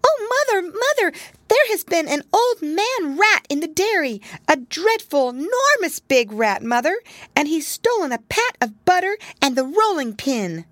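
A woman's voice: sing-song, very fast, hardly any pauses, pushed and bright, no echo, heavily acted. A woman voicing a frightened little girl kitten who gasps out her news. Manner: breathless, frightened, squeaky.

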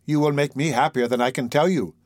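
A man's voice: medium pitch, fairly even intonation, quick pace, dry recording, clean studio sound, close to an everyday voice. A man voicing an eager, grateful young orphan boy in an audiobook, speaking earnestly. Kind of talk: audiobook character voice.

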